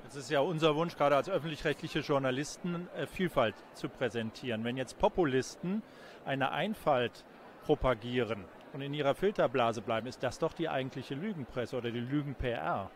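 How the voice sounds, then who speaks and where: A man's voice: mid-range voice, lively intonation, moderate pace, slight background noise. A man in his forties asking questions conversationally as a journalist conducting an interview. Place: busy event courtyard in daylight. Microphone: handheld reporter microphone. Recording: outdoor urban ambience behind voice.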